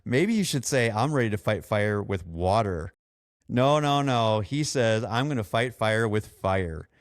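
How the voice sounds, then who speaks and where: Low-voiced, smooth American male podcast host. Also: lively intonation, measured pace, no room sound, clean studio sound. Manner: blunt, exasperated, swearing. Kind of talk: opinionated casual podcast rant.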